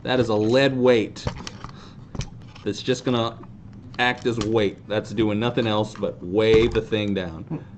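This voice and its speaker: A man in his thirties casually hosting a hands-on how-to demonstration in a deadpan, self-deprecating way. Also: fairly low pitch, takes it slowly. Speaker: host of a fan group's how-to video. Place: indoor tabletop video shoot. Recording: clear background noise.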